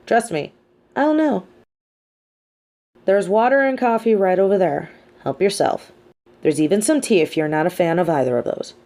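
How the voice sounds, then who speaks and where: A woman's voice: medium pitch, dark tone, close to an everyday voice. A woman playing a smug, sardonic but sympathetic female supervillain in an audio roleplay, speaking articulately. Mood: dry, bossy. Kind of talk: dramatic audio roleplay monologue.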